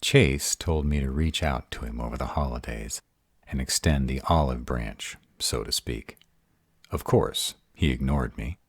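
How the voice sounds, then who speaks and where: A man's voice: rumbling low, a little slow, husky, no room sound, clean studio sound, full character voice. A man playing a sarcastic, insecure young vampire in an audio roleplay, with a drawling manner. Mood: suspicious, sardonic.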